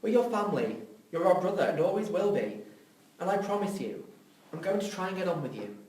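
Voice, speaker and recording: A man's voice: high voice, sing-song, brisk, darkish tone, far from the mic. A man playing a caring, bookish older brother, pleading and reassuring, in a script reading. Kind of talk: audio drama script reading. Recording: echoey room, off mic, faint noise.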